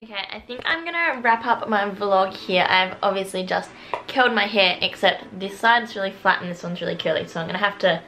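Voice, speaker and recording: A young Australian woman talks straight into a handheld camera, rambling cheerfully through her day with plenty of fillers. Her voice sits at a middle pitch for a woman. Steady background noise runs under the whole recording. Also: brisk. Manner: chatty, upbeat, rambling with fillers. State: out of breath after a workout. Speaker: vlogger. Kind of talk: casual vlog chat. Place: home kitchen and bedroom, also a gym. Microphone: handheld camera at arm's length.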